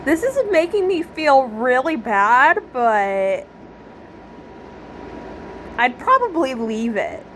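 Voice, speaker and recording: A woman comments casually as she plays a game. Her high voice swings widely in pitch, and she talks very slowly and haltingly. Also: big pitch swings, fairly bright tone, a little hiss or hum. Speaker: gamer reacting to a story quest.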